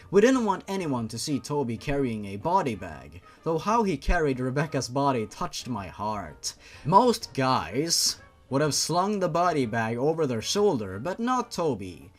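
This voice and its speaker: A man hosting a comic fan-fiction reading, narrating theatrically with mocking asides. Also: medium pitch.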